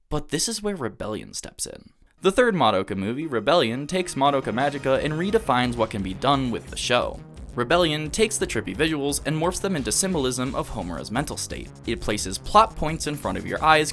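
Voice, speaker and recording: A man's voice: mid-range voice, fairly bright tone. A man in his early twenties narrating a scripted video essay as an opinionated, enthusiastic fan. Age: early 20s.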